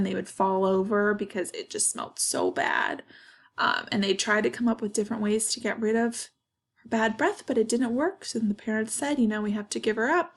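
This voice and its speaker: A woman reading a picture book aloud to children, expressively, stopping to explain and ask questions. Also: mid-range voice. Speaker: read-aloud storyteller for children. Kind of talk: picture book read-aloud with asides to young listeners.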